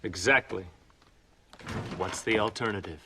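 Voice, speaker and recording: Hard lawman: low, gravelly, curt and disdainful, western game, slight background noise. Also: a little slow. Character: stern, hostile government lawman. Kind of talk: dramatic game cutscene acting.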